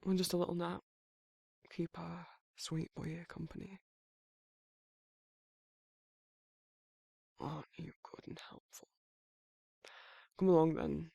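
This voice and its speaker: A man playing a protective, tender werewolf lover in intimate ASMR roleplay, murmuring softly and informally with a husky, breathy voice. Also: on the high side, steady tone, moderate pace, pauses often, no echo. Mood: fussing, flustered.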